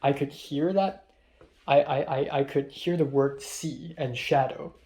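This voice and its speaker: A man's voice: fairly high pitch, measured pace. Rambling young man. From Hong Kong. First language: Cantonese. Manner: rambling, excitable, swears a lot, with many fillers. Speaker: vlogger. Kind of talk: casual diary vlog.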